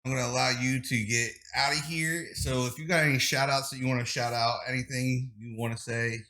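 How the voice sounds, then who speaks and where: A man's voice: mid-range voice, fairly even intonation, a little fast. A man in his thirties hosting a casual podcast chat, crude and joking. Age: 30s. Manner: crude, joking, laughs loudly at own jokes. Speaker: podcast host.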